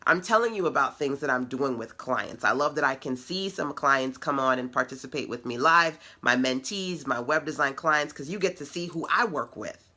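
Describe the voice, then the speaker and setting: A middle-aged woman with a deep voice, hosting a casual online web class and encouraging her audience in a conversational, playful way. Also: animated, normal speed, few pauses, pushed and bright. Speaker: web class host and business coach.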